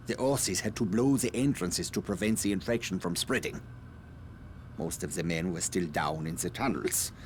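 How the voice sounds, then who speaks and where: An actor playing an evasive, self-justifying scientist in a video game, speaking formally and precisely with polite condescension. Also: male voice, low-pitched, creaky, a little mellow, a little hiss or hum. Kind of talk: dramatic video game cutscene acting.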